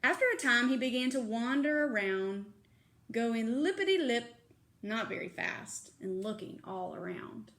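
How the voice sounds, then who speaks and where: Female storyteller, higher-pitched voice, expressive children's book read-aloud, dramatic shifts from hushed to excited. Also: normal speed, creaky, few pauses. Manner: dramatic, dropping to hushed tones and lifting for excitement.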